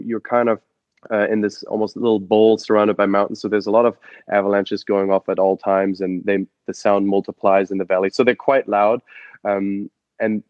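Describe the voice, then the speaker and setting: German-accented young man, interview guest, halting storyteller, muffled dry audio. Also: on the low side, a little mellow, no echo. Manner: halting, many fillers and restarts. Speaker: guest, adventure photographer and expedition guide.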